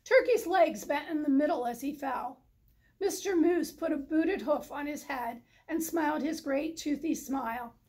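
Female storybook reader, middle-aged, high voice, slow deliberate pacing, library setting. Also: a little mellow. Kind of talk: children's story read aloud, scripted narration. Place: indoor library, in front of bookshelves.

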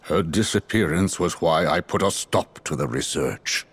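Very deep, husky, remorseful scientist. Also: male voice, a bit bright, dry recording, full character voice. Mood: pleading, grave. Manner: solemn, weighty, deliberate. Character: grave, remorseful older male scientist in exile. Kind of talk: dramatic video game cutscene acting.

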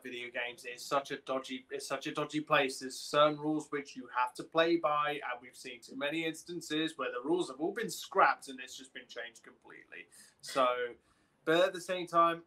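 A man's voice: on the high side, bright, forceful tone, poor audio. A young man chatting casually as a podcast co-host on a video call. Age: young adult. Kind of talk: casual chat. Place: indoor room on a video call.

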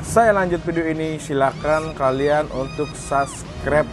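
A man's voice: on the high side, measured pace, music in the background. A man hosting a casual product test, speaking in a hesitant, repetitive way. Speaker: vlog host. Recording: clearly noisy, vehicle ambience.

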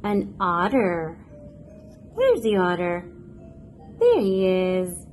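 A woman reading a picture book aloud to toddlers in a playful, expressive, sing-song manner. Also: on the low side, drawn-out words, faint music behind. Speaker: children's picture book narrator, host.

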